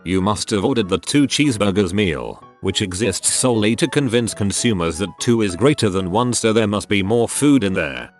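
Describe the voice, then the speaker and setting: Low-voiced man reading forum stories. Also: animated, moderate pace, few pauses, soft background music. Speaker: narrator.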